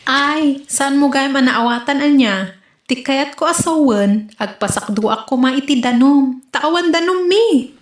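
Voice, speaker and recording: A woman's voice: high-pitched, unhurried, echoey room. Woman playing a teasing Ilocano neighbor. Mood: chatty. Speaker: actor in a comedy skit. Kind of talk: comedy skit acting.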